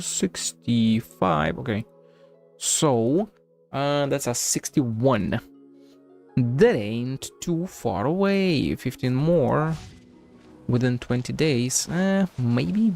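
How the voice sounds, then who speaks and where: Slow, gravelly man streaming a game. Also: medium pitch, darkish tone. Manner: rambling, thinking aloud. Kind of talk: casual live game commentary.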